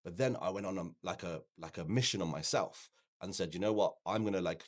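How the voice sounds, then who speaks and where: A man from the English Midlands with a low voice and a local accent, whose first language is Punjabi. He talks at length as an interview guest, spinning anecdotes and acting out bits of dialogue in a conversational way. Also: fairly quick. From Coventry, England. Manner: talkative, anecdotal, often quoting imagined dialogue. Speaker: podcast guest, forex trader and educator. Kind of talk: conversational podcast interview, telling personal stories.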